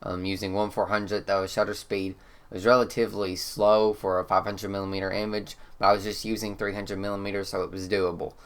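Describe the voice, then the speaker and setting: A man with a Kentucky accent, congested and slightly hoarse, explaining one of his photos in a relaxed, rambling way with lots of "um.". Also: fairly low pitch, fast. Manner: relaxed, rambling, frequent "um" fillers. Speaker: photographer and channel host. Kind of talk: casual talk-to-camera explainer. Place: indoors.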